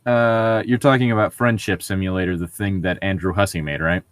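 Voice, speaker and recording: Dude podcast host, casual banter, swears a lot, exasperated, close dry sound. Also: male voice, sits low, steady tone, a little fast, clean tone, dry recording. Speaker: podcast host reading fan fiction aloud. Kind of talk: casual banter and mock-dramatic read-aloud.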